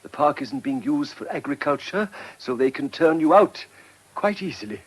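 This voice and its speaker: An actor playing a polite, hesitant, anxious village vicar in a period radio drama. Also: male voice, fairly high pitch, animated, moderate pace, husky. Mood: apologetic warning.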